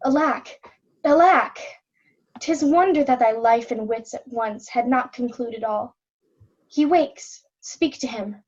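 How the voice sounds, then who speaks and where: A woman's voice: a little high, slow, a little mellow. A woman playing a tender, grieving princess devoted to her father in a Shakespeare reading, recorded lo-fi. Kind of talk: readers' theatre Shakespeare. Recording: dull, lo-fi sound.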